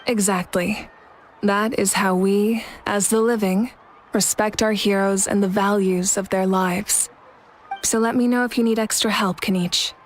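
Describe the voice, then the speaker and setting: A woman's voice: mid-range voice, fairly even intonation, slight background noise, close to an everyday voice. Composed, dignified woman leader played by an actress. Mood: solemn. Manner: measured, earnest, reassuring. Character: composed, kind adult female leader, earnest and dignified. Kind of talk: anime-style video game voice acting.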